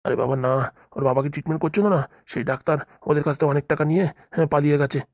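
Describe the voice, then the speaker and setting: Give this comedy cartoon a worried middle-aged father, acted by a woman. The voice sits low and rattles along very quickly. He frets in homely, colloquial speech, and the sound is very dry. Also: steady tone, a little mellow, dry recording. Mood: concerned. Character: worried middle-aged father and future father-in-law, chatty, caring.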